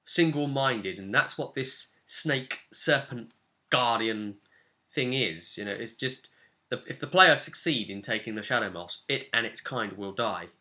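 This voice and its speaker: A man with a bright, forward voice talks through a tabletop game session as game master. His delivery is casual and conversational, like a personal vlog, at a middling pitch. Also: expressive, unhurried.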